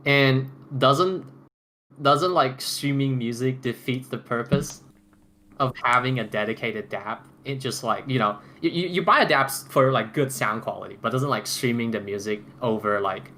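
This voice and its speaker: Bright-voiced male podcast host, noisy recording. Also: medium pitch. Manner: halting, repeats and restarts phrases. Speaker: podcast host moderating a roundtable. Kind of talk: casual roundtable chat. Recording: noticeable background noise.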